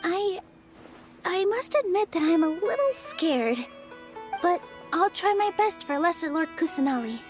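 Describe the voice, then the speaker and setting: Very high-voiced, sweet young dancer. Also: female voice, animated, measured pace, over music. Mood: earnest. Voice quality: light, girlish. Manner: gentle, hesitant, earnest. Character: sweet, earnest young female dancer, friendly and humble. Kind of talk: anime game voice acting.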